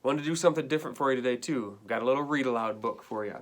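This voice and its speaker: A man's voice: mid-range voice, rapid-fire. A man speaking as an elementary school teacher, giving pupils an informal, conversational update with some hesitation. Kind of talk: informal remote-learning announcement to pupils.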